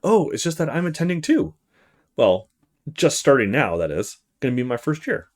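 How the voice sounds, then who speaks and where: A man voicing a polite, decent young male student who is new in town, calm and earnest, in a visual novel. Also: a little high, normal speed. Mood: casual. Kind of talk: visual novel character read-aloud.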